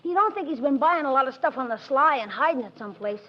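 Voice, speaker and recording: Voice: high-pitched, expressive, fast. An actor playing a cheeky young boy who teases his family in a 1940s radio comedy. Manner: teasing, wisecracking. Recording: dull lo-fi 1940s radio broadcast.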